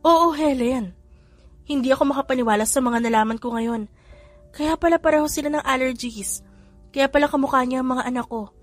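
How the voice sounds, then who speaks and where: Woman voicing earnest young mom, sincere, a bit high, faint music, audio story. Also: fairly quick. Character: earnest young mother of triplets.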